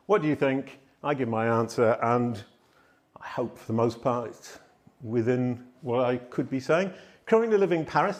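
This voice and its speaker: A middle-aged man tells stories on stage, loud and lively, and often pokes fun at himself. His voice sits at an average pitch for a man. He speaks into a handheld microphone in a conference hall. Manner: loud, animated, self-deprecating storytelling. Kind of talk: live conference talk.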